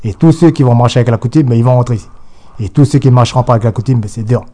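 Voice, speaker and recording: A man's voice: mid-range voice, steady tone, racing, dark tone. A man from New Caledonia, giving an insistent political interview full of restarts and repetition. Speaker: guest.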